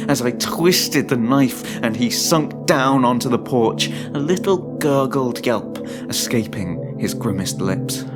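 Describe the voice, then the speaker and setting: A man giving a dramatic, suspenseful first-person narration of a horror story, in a deliberate manner. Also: fairly low pitch. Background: music.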